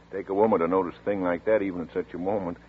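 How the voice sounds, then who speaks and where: An actor in a 1940s radio drama playing an efficient young sheriff who briefs in a brisk, matter-of-fact way, with a husky voice. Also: male voice, sits low, steady tone. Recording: tinny old radio broadcast.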